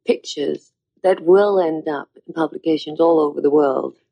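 A calm, scheming woman in a glossy TV drama, measured and precise. Her voice sits low, husky and slightly gravelly. It is dry and close. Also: dry recording, low-quality recording. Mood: calculating. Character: cool, scheming woman laying out a plot. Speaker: actress in TV drama clip.

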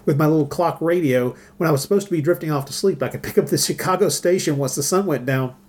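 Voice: medium pitch, fast talker, a little mellow. Chatty podcast host, mid-range voice. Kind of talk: casual discussion of old radio dramas.

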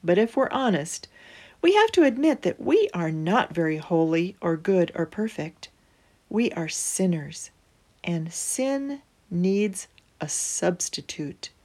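Slow, expressive woman storyteller, slightly husky. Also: on the low side. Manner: deliberate phrasing with emphatic pauses. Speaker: storyteller and host of a children's Bible story show. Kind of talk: expressive children's storybook read-aloud.

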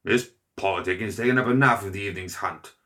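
A man voicing a gruff, boisterous older aristocrat hunter who barks and growls his lines. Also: on the low side, fast, bright, forceful tone. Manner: barking, growling.

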